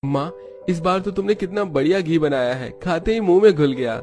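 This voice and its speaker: A man's voice: fairly high pitch, animated, fairly quick, darkish tone, soft background music, voice pushed up, close to an everyday voice. A man voicing an eager, admiring young son in a cartoon story. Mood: delighted. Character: eager young son praising home food. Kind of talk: Hindi cartoon story acting.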